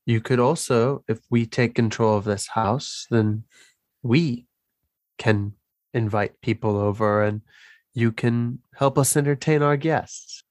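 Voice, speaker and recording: A man playing a tabletop roleplaying game, talking casually and haltingly in short improvised phrases. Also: medium pitch, dry recording.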